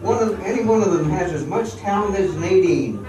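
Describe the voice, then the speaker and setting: A man's voice: high voice, animated, normal speed. Man playing a proud jilted dancer, echoey hall. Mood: bitter, stubborn. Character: jilted male dancer drowning his bitterness in drink, stubborn, proud. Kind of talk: amateur radio drama acting. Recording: very echoey hall, distant mic, music behind.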